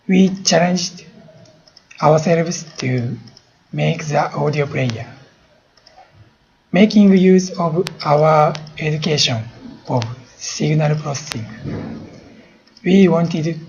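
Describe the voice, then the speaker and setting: A slightly muffled recording of a male student presenter. His voice is a bit high for a man and he goes extremely slowly, almost word by word, in non-native English. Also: creaky. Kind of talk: prepared presentation summing up a student project. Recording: dull, lo-fi recording.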